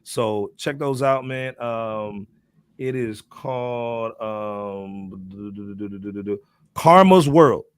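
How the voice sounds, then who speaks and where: A man's voice: on the low side, slow, clear, resonant tone, no echo, clean studio sound. A man hosting a hip hop podcast in a casual, slangy, informal way, often punctuating his lines with "man". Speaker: podcast host.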